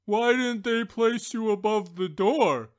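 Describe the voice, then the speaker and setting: A woman's voice: fairly high pitch, slow pace, a little mellow. A woman voicing a practical, blunt stove lifter in a storybook.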